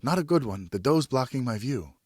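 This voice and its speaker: Audiobook role: male reader as young son on a first hunt, high, breathy, anxious, dry sound. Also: lively intonation, a little fast, a little mellow, no room sound. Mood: nervous. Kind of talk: audiobook character acting.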